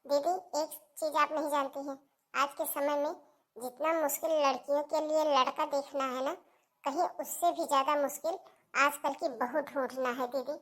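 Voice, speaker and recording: A woman acts out a motherly sister-in-law in a cartoon family drama. Her high voice is soft and gentle, and she persuades in an earnest, reassuring way. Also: fairly even intonation, normal speed. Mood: calm, earnest.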